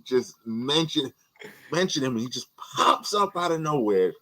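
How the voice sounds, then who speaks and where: A man from New York, a guest on a podcast in casual chat, animated and often repeating words as he talks. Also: mid-range voice, a little slow.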